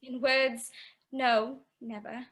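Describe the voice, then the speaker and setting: A woman's voice: high-pitched, little pitch movement, fairly bright tone. A woman in a radio play playing a poor young fiancée who speaks with sorrowful, earnest resolve. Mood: sad, firm. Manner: earnest, measured, plaintive.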